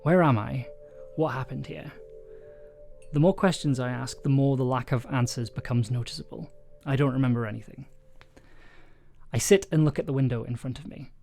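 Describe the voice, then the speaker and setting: A man's voice: medium pitch, normal speed, vocal fry, darkish tone. A man livestreaming in a casual, hesitant way, thinking aloud and reading from his own story draft. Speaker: streamer and audio drama writer. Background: chill soundtrack music.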